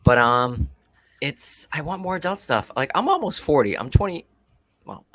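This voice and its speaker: A man in his late thirties chatting casually on a livestream, telling rapid, profane stories. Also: a little high, sing-song, fairly bright tone. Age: 30s. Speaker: livestream guest. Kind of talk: casual chat.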